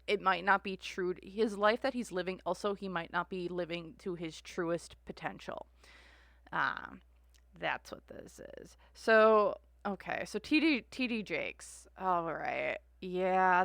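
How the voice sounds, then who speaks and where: A woman's voice: medium pitch, a bit bright, no room sound. Chatty woman with a dry close sound. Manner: rambling, heavy use of "like" and "uh". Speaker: tarot reader. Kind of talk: casual tarot reading.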